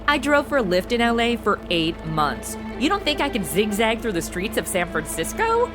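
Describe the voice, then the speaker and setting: A woman's voice: a little high, sing-song, normal speed, over music. A woman playing a sassy, exasperated modern young secretary in a comedy audio drama, sarcastic and casual. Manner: sarcastic, indignant, contemporary casual phrasing.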